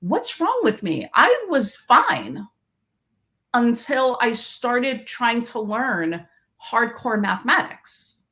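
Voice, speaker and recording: A woman's voice: fairly high pitch, very animated, slow, sharp tone. A woman from Queens, a math education researcher who is a guest on a podcast and tells her story in a reflective way, often restarting her sentences. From Queens, New York. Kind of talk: interview conversation.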